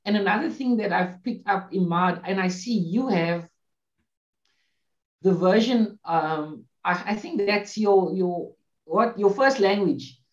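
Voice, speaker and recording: A man's voice: high voice, takes it slowly. Afrikaans-speaking man on a roomy call. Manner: hesitant, many restarts, gestures while talking. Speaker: guest. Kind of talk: casual video-call discussion. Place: small room, indoors. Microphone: webcam on video call. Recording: roomy, dull video-call audio.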